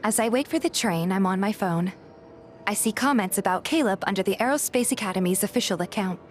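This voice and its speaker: A woman's voice: medium pitch, animated, a little fast, a little hiss or hum. A woman reading a scripted first-person story as narrator and voice actor in a fan dub.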